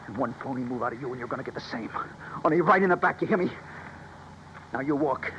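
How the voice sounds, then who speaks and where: An American actor playing a cocky, menacing armed robber who barks orders in gangster slang, in a 1950s radio crime drama. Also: male voice, medium pitch, animated, fast talker, fairly bright tone, lightly acted. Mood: threatening. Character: menacing armed stick-up man, cocky and gloating. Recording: noisy muffled vintage radio recording.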